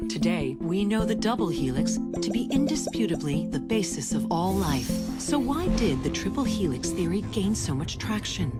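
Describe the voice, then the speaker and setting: An actress playing an assured corporate science narrator who presents a grand research project in a scripted, visionary video game film. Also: female voice, on the low side.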